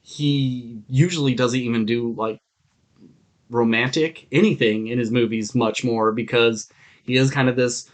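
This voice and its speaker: Male co-host, mid-pitched, irreverent and jokey, loose conversational banter. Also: steady tone, a little slow. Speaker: podcast co-host. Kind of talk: casual film banter.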